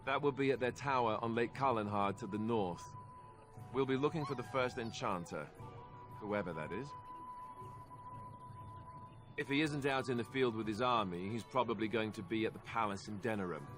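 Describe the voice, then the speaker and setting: An actor playing a young warrior in a fantasy game, drily sarcastic and self-deprecating, with a hesitant conversational delivery. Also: male voice, lowish voice, normal speed, pauses often, over music, close to an everyday voice. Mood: earnest, uncertain. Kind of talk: fantasy video game dialogue acting.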